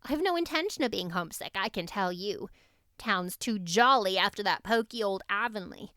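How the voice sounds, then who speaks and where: A woman's voice: medium pitch, animated, normal speed, sharp tone, dry recording, clean studio sound. A woman voicing a spiteful, boastful teenage schoolgirl who gushes in slang and offers false pity, in an audiobook story. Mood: aggravating pity, boastful. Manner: gushing, slangy, falsely pitying.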